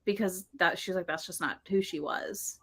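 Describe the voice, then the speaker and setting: A woman's voice: lowish voice, moderate pace, breathy edge. A woman chatting casually in a podcast book discussion, often voicing her reactions as little quotes. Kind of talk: casual chat.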